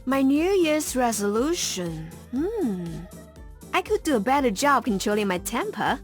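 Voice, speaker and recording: Game role: cheery young female scientist, high lilting voice. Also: big pitch swings, measured pace. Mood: sheepish. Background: music.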